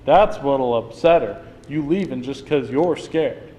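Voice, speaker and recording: A man acting in a play reading as a steady, plain-spoken friend who coaxes and reassures, recorded in a noisy room. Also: mid-range voice, a little slow, in a large hall, highs cut off, natural voice. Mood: firm, urging. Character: Frank, steady, reassuring male friend, no longer young. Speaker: drama student actor. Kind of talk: dramatic reading of a stage play.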